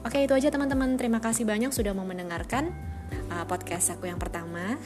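Music plays clearly behind a young woman. Her voice sits at a medium pitch, and she talks in a chatty, informal way, like a new podcaster addressing friends. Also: fast. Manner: chatty, informal, often chuckling. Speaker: first-time podcast host. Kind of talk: casual solo podcast chat.

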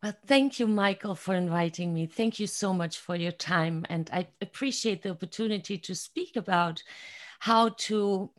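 A middle-aged woman from Germany with a German accent, a guest in a casual podcast interview, cheerful and quick to laugh, sometimes searching for words. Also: mid-range voice.

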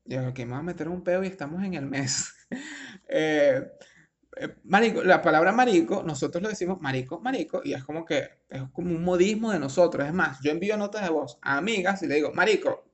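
A man's voice: on the high side, brisk. Chatty Venezuelan man, slangy and rambling. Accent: Venezuelan Spanish. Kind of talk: casual commentary reading a news article.

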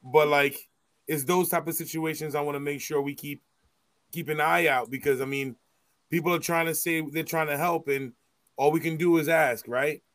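A man co-hosting a casual interview, relaxed and slangy, mixing in French phrases. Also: fairly high pitch, moderate pace, no echo.

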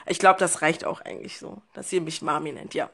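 A woman's voice: deep voice, fairly even intonation, fairly quick, creaky, fairly bright tone. A woman in her early twenties with a Berlin accent, a podcast guest talking thoughtfully with hesitations and fillers. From Germany. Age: 20s. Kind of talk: casual conversation.

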